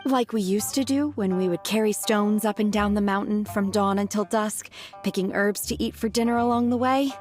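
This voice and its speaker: A woman plays a blunt, awkward young disciple in a video game. She delivers everything deadpan and formally in a mid-pitched voice, with faint music. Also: normal speed, lightly acted.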